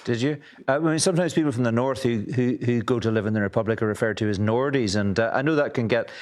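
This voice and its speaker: A man's voice: mid-range voice, fast talker, darkish tone. A man presenting a current affairs discussion, introducing guests and putting probing questions, sometimes with small hesitations.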